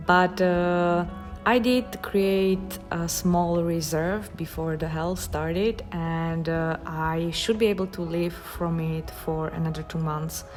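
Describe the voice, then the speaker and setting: A woman with a Slovak accent, a watercolor artist chatting casually while she paints, in a halting, thoughtful way with frequent pauses. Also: fairly low pitch, fairly even intonation, soft background music.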